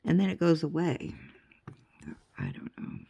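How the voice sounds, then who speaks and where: Slow, gravelly older woman chatting. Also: low-pitched, huge pitch swings, warm tone. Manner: rambling, chatty, laughs often. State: stuffy nose. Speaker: crafting vlogger. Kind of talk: casual chatty vlog.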